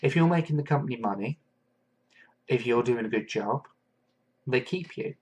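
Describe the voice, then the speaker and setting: A man from England hosting a solo podcast, talking in an earnest, thoughtful way and pausing often with fillers. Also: medium pitch, expressive, a little mellow, slightly rough. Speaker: podcast host. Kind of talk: reflective solo podcast monologue.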